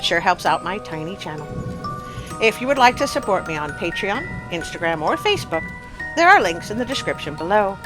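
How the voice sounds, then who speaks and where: A woman storyteller and channel host with an expressive, dramatic delivery, reading scripted stories for children. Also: mid-range voice, fairly quick, over music.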